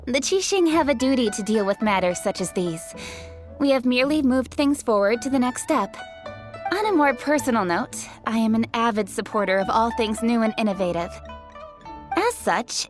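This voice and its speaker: Actress as a poised young official, fairly high voice. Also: female voice, normal speed, close to an everyday voice. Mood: composed, formal. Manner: formal, crisp phrasing, composed. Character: poised, businesslike young female city official. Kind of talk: anime video game voice acting. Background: music.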